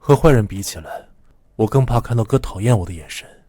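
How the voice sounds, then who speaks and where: An actor playing a troubled, clingy young male student in an animated drama, quietly obsessive, with a soft-spoken, coaxing manner. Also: on the low side, brisk, breathy edge, no room sound, studio quality. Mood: wounded, pleading.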